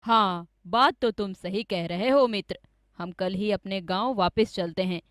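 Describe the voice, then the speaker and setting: A woman's voice: mid-range voice, measured pace, no echo, clean studio sound, voice pushed down, natural voice. A woman voicing a scheming, greedy village man with an insistent, dramatic manner in a cartoon story. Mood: smooth, persuasive. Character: scheming greedy village man, falsely accusing his friend.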